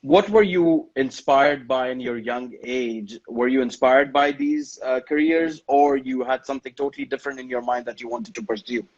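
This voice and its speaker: A man hosting a live online interview, asking friendly questions with lively emphasis and repeated words, over a video call. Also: mid-range voice, normal speed, hardly any pauses, highs cut off. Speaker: interview host.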